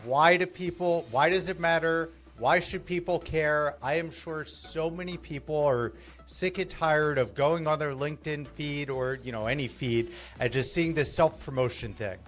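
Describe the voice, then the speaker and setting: Man hosting a podcast, slightly high voice, earnest, faint music underneath. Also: moderate pace, a bit bright. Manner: earnest, loosely phrased questions with restarts. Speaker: podcast host. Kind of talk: conversational podcast interview.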